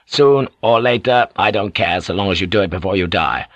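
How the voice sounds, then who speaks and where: Audiobook samurai lord, older man as acted by a male reader: low, fierce, scheming, used to being obeyed. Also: a little fast, no room sound, muffled recording, lightly acted. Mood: stern, urgent. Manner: imperious, emphatic, giving orders. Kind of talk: audiobook character acting.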